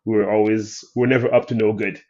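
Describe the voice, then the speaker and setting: A man from Haiti, a filmmaker guest, telling his life story in a thoughtful, soft-spoken way with many pauses and "um"s. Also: fairly low pitch, level tone, muffled recording. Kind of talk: interview storytelling.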